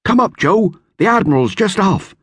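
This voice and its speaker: A man's voice: high voice, sing-song, normal speed, fairly bright tone, husky, dry recording, pitched above the performer's own voice, full character voice. A man voicing Bill, a blunt, bossy young boy, in an audiobook. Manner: blunt, commanding. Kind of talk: audiobook character voice.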